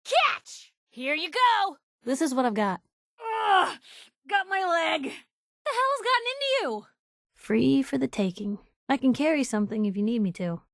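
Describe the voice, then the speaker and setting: A woman's voice: sits high, big pitch swings, a little slow, a bit bright, no echo, studio quality, heavily acted. An actress playing a plucky, wisecracking young woman reporter in a post-apocalyptic video game, with a snappy, colloquial, teasing way of talking. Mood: pained, startled. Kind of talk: video game companion voice acting.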